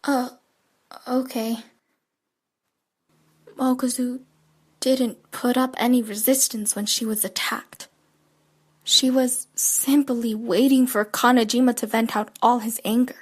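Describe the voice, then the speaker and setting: Woman playing a high-voiced, troubled schoolgirl. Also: steady tone, slow pace, pauses often, breathy edge. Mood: subdued, brooding. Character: troubled schoolgirl narrator, anxious and pensive. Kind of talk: audio drama acting with inner monologue.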